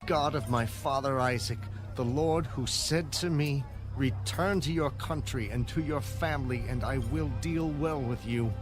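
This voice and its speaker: An actor playing an anxious, humble biblical patriarch, pleading in a dramatized audio Bible. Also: male voice, a little high, natural voice. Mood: humble, reverent. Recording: music bed under the voice.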